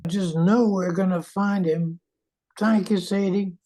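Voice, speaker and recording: A woman playing a serious, steady park ranger in a radio play, speaking in a measured way. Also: mid-range voice. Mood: grave. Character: grave, steady park ranger seeking help. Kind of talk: old-time radio play acting.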